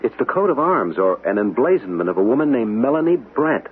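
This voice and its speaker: Earnest, expressive radio-drama gentleman. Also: mid-range voice, brisk, breathy edge. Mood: earnest, probing. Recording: tinny band-limited radio recording with hiss.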